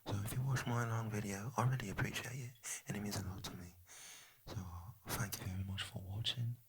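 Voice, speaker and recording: A man's voice: on the low side, fairly even intonation, a little fast, a little hiss or hum. A young man whispering a rambling ASMR chat to his viewers in a put-on British accent, often losing his train of thought. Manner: soft whispering, rambling and easily sidetracked. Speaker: ASMR creator.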